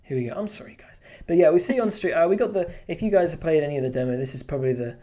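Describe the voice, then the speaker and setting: A man with a warm, relaxed tone talks casually on a live stream while he draws. He rambles and restarts sentences often, with plenty of fillers. He chuckles now and then. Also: fairly high pitch, rapid-fire. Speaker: streamer and artist.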